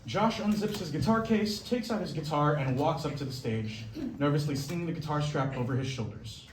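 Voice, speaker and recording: A man's voice: fairly high pitch, fairly quick. A man reading out stage directions as scripted narration. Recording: echoey room, faint noise.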